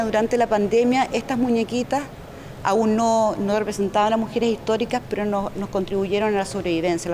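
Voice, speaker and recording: A woman with a Chilean accent giving an informal public presentation, explaining in a halting way as she searches for words. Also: medium pitch, a little mellow, slight background noise. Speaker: domestic workers' union representative, speaker.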